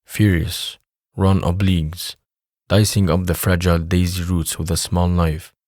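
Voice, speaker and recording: Low-pitched man narrating, dry sound. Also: level tone, a little slow, darkish tone, no room sound. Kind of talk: scripted audio drama narration.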